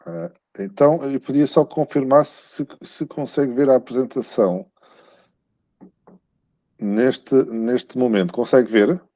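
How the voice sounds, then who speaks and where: A man with a European Portuguese accent giving a formal, courteous academic talk over a video call, often halting and repeating words. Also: medium pitch, fairly even intonation, dark tone, no echo. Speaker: academic guest speaker giving a lecture. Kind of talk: formal conference talk, semi-scripted. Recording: muffled, dull video-call audio.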